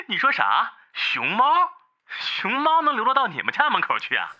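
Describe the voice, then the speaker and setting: A man's voice: extremely high pitch, wildly swooping pitch, slow pace, sharp tone, husky, exaggerated voice. A man playing a teasing, mocking friend over a phone filter in an audio drama. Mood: mocking amusement. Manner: mocking, laughing. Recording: dull band-limited phone line.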